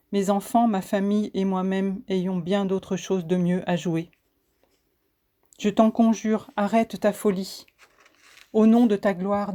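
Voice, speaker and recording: Soft-toned woman reciting solemn poetry. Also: mid-range voice. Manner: solemn, declamatory, deliberate phrasing. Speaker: poet reciting own poem. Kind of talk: recited spoken-word poetry.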